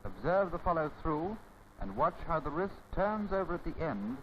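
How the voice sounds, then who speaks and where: A man narrating a 1930s instructional film from a script, formal and didactic, with measured phrasing. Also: a little high, big pitch swings. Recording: scratchy, muffled 1930s film soundtrack.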